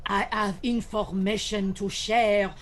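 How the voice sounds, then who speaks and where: A woman playing a flamboyant French gentleman thief with a put-on, exaggerated French accent in a comic radio drama, pompous and melodramatic. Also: mid-range voice, steady tone, takes it slowly, a little hiss or hum, natural voice. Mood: grave, dramatic. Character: flamboyant male French gentleman burglar, theatrical and grandiose.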